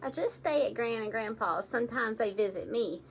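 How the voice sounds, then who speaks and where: A woman reading picture books aloud to young children in an animated, engaging way, often addressing her listeners directly. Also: a little high, normal speed, a little hiss or hum.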